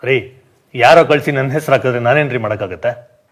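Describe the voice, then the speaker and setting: A man's voice: medium pitch, a little fast, lightly acted. A man playing a frightened, gullible scam victim, anxious and deferential, in an awareness skit. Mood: startled, defensive.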